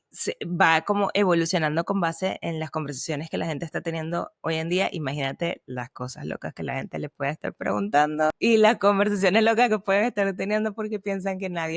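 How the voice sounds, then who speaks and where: A woman co-host with a mixed Spanish and Argentine accent talks through ideas in relaxed podcast conversation. She hesitates and restarts often as she explains. Her voice is recorded close and dry, with no room around it. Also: fairly low pitch, creaky, dry recording. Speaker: podcast co-host, language teacher. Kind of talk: casual conversational chat.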